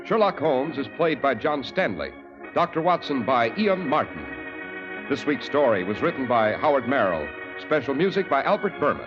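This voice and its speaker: A man announcing in the formal, clear style of a 1940s radio show. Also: mid-range voice, big pitch swings, normal speed. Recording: dull old radio broadcast recording with organ music.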